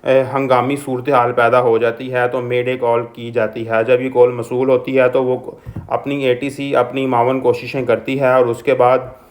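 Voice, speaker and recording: A man's voice: medium pitch, level tone, a little slow. A man presenting an informal explainer, often rephrasing and repeating his points. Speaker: presenter explaining a news topic.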